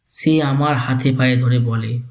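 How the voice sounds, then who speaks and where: An actor playing an elderly, coolly calculating village uncle in a dramatized literary story, speaking in formal, deliberate phrases. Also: male voice, mid-range voice, fairly even intonation, darkish tone, close to an everyday voice. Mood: calculating, persuasive. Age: older.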